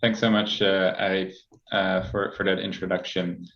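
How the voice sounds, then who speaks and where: A man with a very low voice hosts an online panel. He is informal and winds his way through hedged questions. The room echoes and the call audio is a bit dull. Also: level tone, normal speed, a bit bright. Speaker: webinar moderator.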